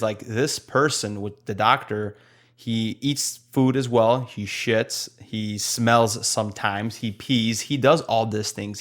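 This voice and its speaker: Man, Polish accent, Polish native speaker, nurse podcast co-host, blunt and relaxed, middle pitch. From Poland. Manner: relaxed, blunt, slows down to stress points. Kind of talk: casual podcast chat.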